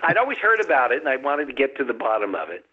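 A man's voice: medium pitch, wildly swooping pitch, rapid-fire, slightly rough, narrow, tinny audio. A man who is the guest author, telling a story in a casual chat with dry humor and frequent chuckles.

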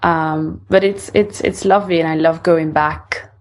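A woman's voice: low-pitched, steady tone, measured pace. A woman in her twenties from Italy with an Italian accent, answering casual interview questions somewhat hesitantly. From northern Italy. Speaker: singer-songwriter being interviewed.

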